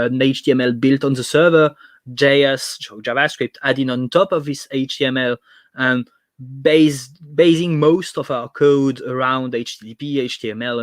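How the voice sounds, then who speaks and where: A man's voice: a little high, no echo. A young man from France with a French accent, giving an online technical presentation with many fillers and restarts. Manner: hesitant, frequent fillers and restarts. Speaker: conference speaker. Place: home room.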